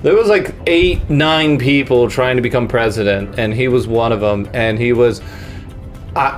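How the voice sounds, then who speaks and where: A man's voice: mid-range voice, moderate pace. A man from the United States hosts a laid-back podcast with an easy mid-range voice. He rambles through his points and breaks into laughter as he goes. Faint music sits under the talk. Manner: rambling and jokey, laughs often. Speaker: podcast host. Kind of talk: casual comedic chat.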